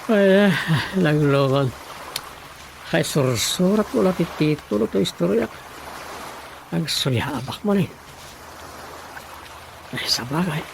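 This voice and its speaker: A man playing a talkative married village councilman in an Ilocano radio drama comedy, thinking aloud and explaining with many exclamations in a gravelly voice. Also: a little high, lively intonation, slow, pauses often, noisy background, full character voice. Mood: musing to himself.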